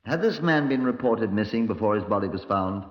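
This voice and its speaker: Male, lowish voice, sarcastic police chief inspector, brusque and dry, dull vintage radio sound. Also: expressive, a little fast. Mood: brusque, impatient. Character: brusque, sardonic Scotland Yard chief inspector. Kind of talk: 1950s radio crime drama acting. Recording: dull, lo-fi 1950s radio recording.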